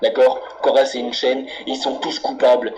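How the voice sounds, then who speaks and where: A man's voice: medium pitch, flat delivery, normal speed, a crowd in the background, lightly acted. A man putting on a menacing, candy-obsessed angry customer in a prank phone call, ranting and swearing insistently. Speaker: prank caller. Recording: very noisy phone prank recording.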